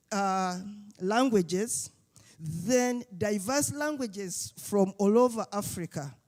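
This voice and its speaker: A speaker from Uganda, giving a halting panel talk with many fillers and restarts. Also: medium pitch, darkish tone. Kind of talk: summit panel speech.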